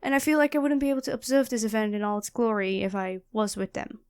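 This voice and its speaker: A woman playing a nerdy, chatty young student in an audio roleplay, expressive and flustered, often apologizing and correcting herself. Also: fairly high pitch, fast, clean tone, natural voice. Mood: friendly, chatty. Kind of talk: audio roleplay acting addressed to the listener.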